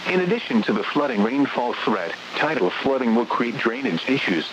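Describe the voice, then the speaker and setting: A synthetic man's voice reading automated weather bulletins as a radio announcer, in a formal, measured way. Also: mid-range voice, big pitch swings. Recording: noisy, hissy weather radio broadcast.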